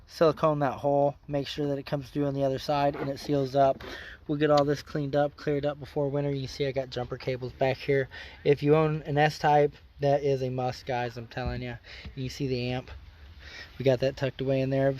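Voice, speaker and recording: A man hosting a car vlog outdoors, explaining his install in a plain-spoken, folksy way, his voice a bit hoarse. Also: fairly high pitch, flat delivery, brisk, darkish tone, muffled recording, slight background noise. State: slightly hoarse. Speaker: car customizing channel host. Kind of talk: casual how-to vlog.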